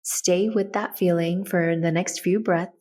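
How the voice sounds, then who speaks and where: A woman with a slightly low voice leads a guided meditation. She gives soft, soothing instructions in a gentle manner, as a host walking listeners through each step. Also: fairly even intonation, measured pace.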